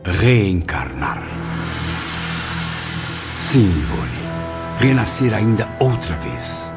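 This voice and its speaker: An actor playing a repentant male spirit who reflects solemnly on his afterlife in an audio drama, with measured literary phrasing. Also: sits low, very animated, heavily acted. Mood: resolute, reflective. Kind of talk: audio drama acting and first-person narration. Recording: dull, band-limited audio under clear music.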